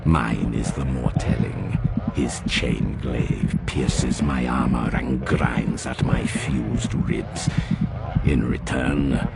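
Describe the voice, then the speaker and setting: An actor playing a savage, rage-driven warrior champion in an audio drama, with gravelly, menacing, intense delivery. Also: male voice, fairly even intonation, slow, exaggerated voice. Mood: grim, brutal. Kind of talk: audio drama first-person narration. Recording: loud rumbling battle noise behind the voice.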